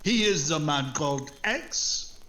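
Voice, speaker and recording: Man acting a slow, swooping, servile underling. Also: on the high side, lively intonation, bright, forceful tone, slight background noise, full character voice. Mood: solemn. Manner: apologetic, hesitant, fussy. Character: timid, obsequious, muddled morgue and police underling. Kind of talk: 1940s radio drama acting.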